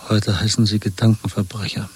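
Weary dissident man in a radio play: husky, breath in the tone, measured, wary and resigned. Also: lowish voice, level tone. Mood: subdued. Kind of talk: 1970s German radio drama acting.

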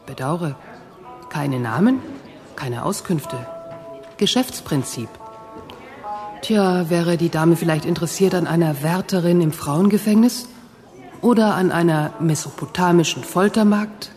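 An actor voicing a brisk, polite shop clerk with salesman's patter in a German sci-fi radio play. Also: mid-range voice, a little mellow, close to an everyday voice. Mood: sales patter. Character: chatty, businesslike shop clerk selling second-hand memories. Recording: reverberant hall with music behind.

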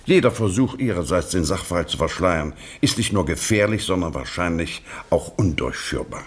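An actor playing a stern, insistent English police investigator in a German radio drama, questioning in formal, pointedly courteous phrases. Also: male voice, deep voice, expressive, normal speed, slightly rough. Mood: stern, pressing. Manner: formal, pointed questioning with mock-polite address.

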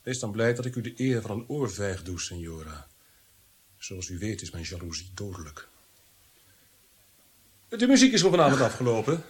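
An actor playing a jealous, tyrannical Renaissance prince in a radio drama, theatrical and mocking, moving between hushed menace and outbursts. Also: male voice, lowish voice, very animated, normal speed, long pauses, husky. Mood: cold threat.

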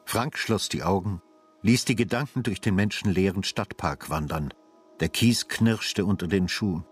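An actor as the narrator of a melancholy detective radio drama, telling the story in a measured, sober way. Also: male voice, low voice, faint music behind, lightly acted.

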